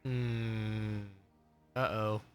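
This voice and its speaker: A man's voice: on the low side, flat delivery, drawn-out words. A man streaming a video game, giving casual live commentary and questioning things aloud as he plays. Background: game music.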